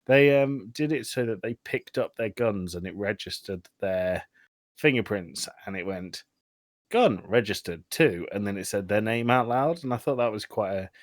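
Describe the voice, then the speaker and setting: Low-voiced man, casual podcast host. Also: dry recording, clean studio sound. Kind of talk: casual film discussion podcast.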